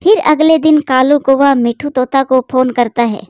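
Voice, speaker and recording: A woman's voice: high-pitched, moderate pace, no room sound, clean studio sound. Woman narrating a cartoon story. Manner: steady, plain storytelling.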